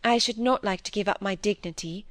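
Demure young lady played by a woman narrator, poised, slyly wilful, raised pitch, period novel. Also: animated, brisk, no room sound, close to an everyday voice. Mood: coolly demure.

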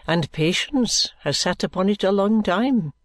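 A woman voicing a pompous, condescending senior male lawyer in an audiobook, with grand, drawn-out phrasing. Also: mid-range voice, expressive, no room sound, lightly acted. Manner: orotund, drawn-out, pompous, self-satisfied speech.

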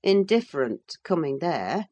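A stern servant woman played by a woman reader, slow and deliberate in her scolding. She is dry, sardonic and sententious, with expressive pitch, as a character in a classic novel audiobook. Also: heavily acted. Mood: stern, quizzing. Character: sharp-tongued, no-nonsense female servant, stern and sardonic.

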